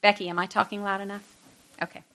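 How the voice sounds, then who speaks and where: A middle-aged woman speaks as a teacher leading an informal Bible lesson. Her voice is bright and forceful, at an ordinary female pitch. She talks conversationally to the group and drops in self-deprecating asides. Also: little pitch movement, quick pace, poor audio.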